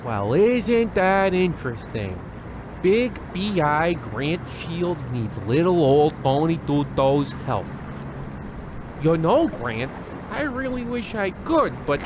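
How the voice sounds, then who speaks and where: A man performs a coy little underworld snitch in a comic radio drama. The pitch is high and very animated, and dockside ambience is clearly heard behind it. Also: takes it slowly, background noise. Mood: coy, teasing. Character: cagey, small-time male dockside informant.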